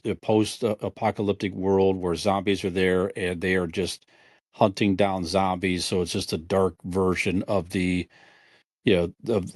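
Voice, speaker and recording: Male co-host, lowish pitch, dry sound, conversational, repeats himself to make a point. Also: darkish tone, no room sound. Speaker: podcast co-host. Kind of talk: casual podcast chat.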